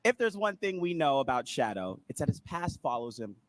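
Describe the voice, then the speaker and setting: An enthusiastic man hosting a panel interview. His pitch moves around a lot as he presents and puts questions to guests, sitting in a middle range overall. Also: big pitch swings, fairly quick, dry recording, low-quality recording.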